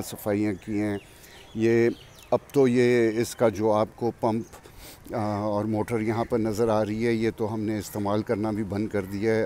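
An older man with a Pakistani accent, speaking in an unhurried, reflective way as he gives an informal explanatory tour of his farm outdoors. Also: lowish voice, a little mellow. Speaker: farmer and vlog host. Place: outdoors beside a farm well. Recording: clear outdoor ambient noise, birdsong. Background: birds and outdoor farm ambience.

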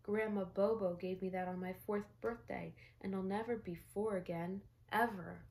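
A young woman voicing a dramatic little boy who pleads and bargains over his toys, in a raised, excited storybook voice. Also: mid-range voice, moderate pace, vocal fry, natural voice. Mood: indignant.

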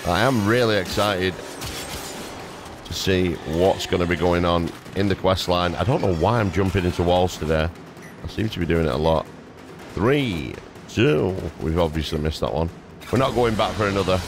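A man giving casual, playful gameplay commentary, throwing in exclamations and mild substitutes for swearing. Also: lowish voice. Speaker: gaming YouTuber. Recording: music playing behind the voice. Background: game music.

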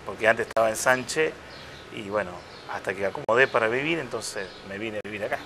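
A man in his fifties, a parish priest, talking in a relaxed interview with hesitations and restarts. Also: lowish voice, creaky, background noise. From Chile. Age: 50s. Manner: unhurried, reflective, with many hesitations and restarts. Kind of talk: casual interview conversation. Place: outdoor restaurant patio. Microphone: lapel mic.

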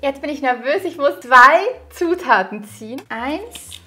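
A young woman chatting casually and playfully in an expressive way, as host of a video shot indoors. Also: high-pitched, unhurried. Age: young adult. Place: indoor kitchen or dining room.

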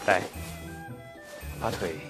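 An actor playing a sly, seductive fox prince in a costume audio drama, with a slow, lingering delivery. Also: male voice, music in the background. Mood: teasing, seductive.

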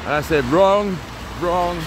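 A man in his forties preaches as he walks through town in the rain, his phone held out in front of him. His voice sits a touch high for a man, and his delivery is earnest and urgent, circling back over the same points. Clear traffic noise runs behind him. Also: takes it slowly. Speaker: street evangelist vlogger. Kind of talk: walk-and-talk preaching vlog. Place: outdoors on a town street in the rain. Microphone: phone held at arm's length. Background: passing traffic.

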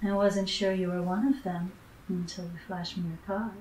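A woman's voice: lowish voice, flat delivery, normal speed, darkish tone, a little hiss or hum, lightly acted. A woman playing a sly, world-weary, teasing femme fatale in a noir radio drama, in an echoey room. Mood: weary, knowing. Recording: echoey room, distant microphone.

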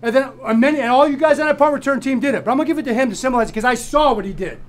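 A man's voice: extremely high pitch, very fast. A middle-aged man, a head coach, giving an emphatic, rousing, repetitive speech to his players in a crowded locker room. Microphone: far camera mic. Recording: crowd noise, far camera mic. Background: crowd of players and noise.

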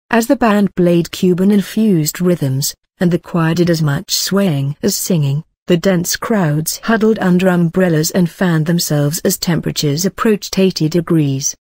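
A voice reading a news article aloud in an even, uniform way. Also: mid-range voice, no room sound, studio quality. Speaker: news reader.

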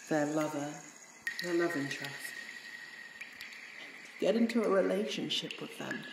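A woman playing a bitter, heartbroken yandere with supernatural power in a dramatic audio roleplay, speaking in an emotional, halting way. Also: fairly low pitch, creaky, distant mic. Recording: roomy sound with faint noise.

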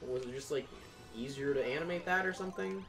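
A man's voice: mid-range voice, fast, gravelly, over music. A young man chatting casually while playing a video game with a friend, joking and laughing often. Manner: joking, giggly, animated. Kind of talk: casual gaming chat.

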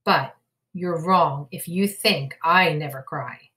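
Storybook old horse, read by a woman: kindly, candid, confiding, measured and slow. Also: deep voice, bright, forceful tone, heavily acted.

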